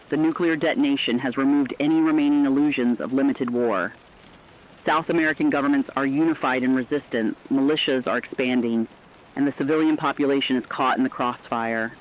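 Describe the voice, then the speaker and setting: A man playing a somber radio news anchor, reading a scripted emergency war broadcast in a measured, dramatic way. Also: medium pitch, lightly acted. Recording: dull lo-fi radio sound.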